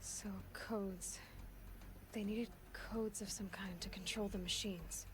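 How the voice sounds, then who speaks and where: Hushed, curious young huntress played by an actress. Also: female voice, medium pitch, steady tone, slow, slight background noise, close to an everyday voice. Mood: hushed wondering. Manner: hushed, wondering, thinking aloud. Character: curious young outcast huntress piecing together ancient ruins. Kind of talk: video game dialogue, musing aloud.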